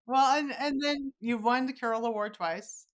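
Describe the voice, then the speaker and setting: A woman's voice: fairly high pitch, normal speed. A woman hosting a casual interview, effusive and encouraging toward her guest. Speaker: podcast host.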